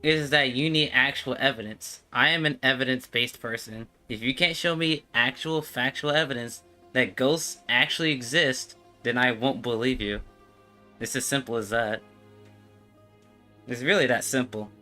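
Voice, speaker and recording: A man streams a game and chats casually in a bright, forceful voice of medium pitch. He is slangy and argumentative, with faint music in the background. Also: normal speed. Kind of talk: casual livestream chat.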